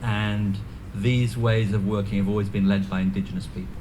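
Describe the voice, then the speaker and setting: Low-voiced Welsh man giving an earnest speech. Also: level tone, moderate pace, background noise. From North Wales. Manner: earnest, with frequent ums and restarts. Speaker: guest speaker. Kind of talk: ceremonial speech. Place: community event venue.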